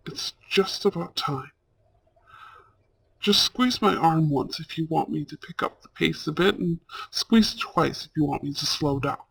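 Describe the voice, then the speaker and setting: A man playing a warm, protective older brother from a mafia family, speaking tenderly and earnestly in a romantic audio roleplay. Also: on the high side, creaky, slightly rough, no room sound, heavily acted. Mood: tender, gentle. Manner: tender, earnest, halting delivery with sprinkled Italian endearments.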